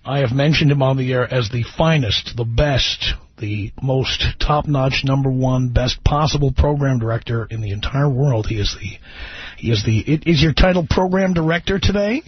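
Middle-aged man, radio talk host, very husky voice, dull dry broadcast sound. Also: mid-range voice, normal speed, no echo. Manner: brash, joking, self-mocking, teases the studio staff. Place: radio studio.

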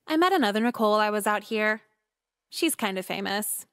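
A woman voicing a brash, sarcastic, foul-mouthed seventeen-year-old high school girl in a visual novel game. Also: animated, fast, a bit bright. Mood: smug narration. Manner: foul-mouthed, snarky, blunt.

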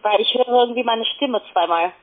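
Casting: woman telesales agent, non-native German speaker, very high pitch, hesitant phrasing, thin telephone sound. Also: fairly quick. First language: not German. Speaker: telemarketing call centre agent. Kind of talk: unscripted sales phone call. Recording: narrow tinny phone line.

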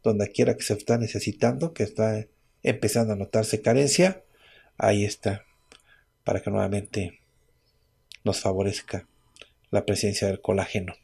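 A man hosting a radio advice show, dictating herbal remedy lists slowly, item by item. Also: fairly low pitch, steady tone, creaky, darkish tone, breathy edge. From Mexico.

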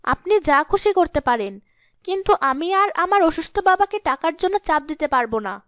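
A woman's voice: very high-pitched, lively intonation, moderate pace, a bit bright, no echo, studio quality. A woman voicing a wronged young village wife in a cartoon, pleading but firm. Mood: defiant.

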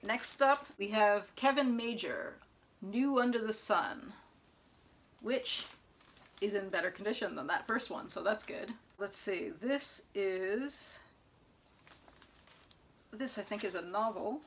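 A young woman chatting casually about new books at home, sometimes reading back-cover blurbs aloud in an even tone, recorded by a camera close in front of her. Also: medium pitch, slow, pauses often, a little hiss or hum. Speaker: book vlogger. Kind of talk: casual book haul chat with read-aloud blurbs. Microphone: camera in front at close range.

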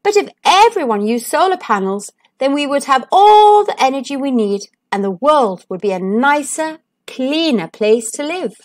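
Storybook sunbeam, woman performing: high, lively, friendly, eager explainer. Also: moderate pace, hardly any pauses. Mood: earnest, upbeat. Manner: bright, emphatic explaining to a child. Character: cheerful talking male sunbeam, keen friendly explainer. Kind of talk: children's storybook read-aloud.

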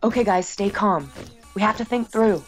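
A woman playing a brash, excitable young man in an audio drama, slangy and emphatic, over music. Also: medium pitch, normal speed, natural voice. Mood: urgent.

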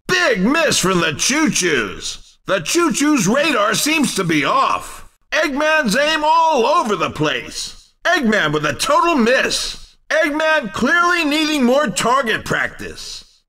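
A man's voice: very high voice, sharp tone, recorded from a distance, full character voice. An actor playing an exuberant, cheeky male race commentator in a video game, calling the action in punchy, wisecracking exclamations.

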